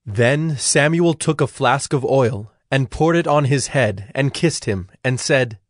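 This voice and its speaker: A man's voice: medium pitch, measured pace, no room sound, studio quality. A man giving scripted narration as the narrator of a dramatized audio Bible.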